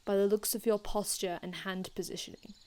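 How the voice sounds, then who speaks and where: A woman playing an aloof, formal male vampire healer from another time in an ASMR roleplay, speaking in a measured, haughty, hushed manner with archaic phrasing. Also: mid-range voice, steady tone, close to an everyday voice. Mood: weary, reassuring. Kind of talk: ASMR roleplay, scripted in-character monologue.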